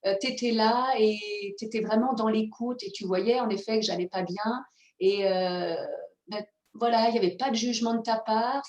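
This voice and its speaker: A woman speaking as a guest in a roomy, echoing space. Her pitch is middling and her delivery is reflective, with frequent fillers and pauses while she searches for words. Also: darkish tone. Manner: reflective, hesitant, many fillers. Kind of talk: casual conversational interview. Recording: echoey room.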